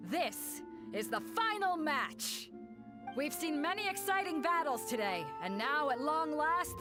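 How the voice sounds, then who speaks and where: A woman voicing a bold, commanding sea captain in a video game, with a theatrical announcer's delivery. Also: high voice. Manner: rousing, theatrical announcer delivery with frank asides.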